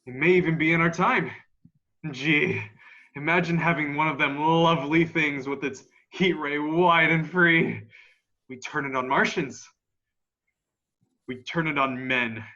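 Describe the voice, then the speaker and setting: A bitter artilleryman hungry for conquest, acted by a young man in a radio play. He speaks slowly in a forceful, slightly high male voice, over muffled lo-fi call audio. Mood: scheming, fervent. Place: room at home, video call. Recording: dull lo-fi video-call audio.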